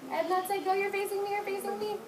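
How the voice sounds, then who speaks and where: A woman's voice: very high voice, level tone, quick pace. A woman teaching young children in a classroom, giving short, directive instructions and praise. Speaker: elementary school teacher. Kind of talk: classroom read-aloud lesson addressed to young children. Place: indoor classroom. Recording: roomy, distant classroom recording with clear background noise.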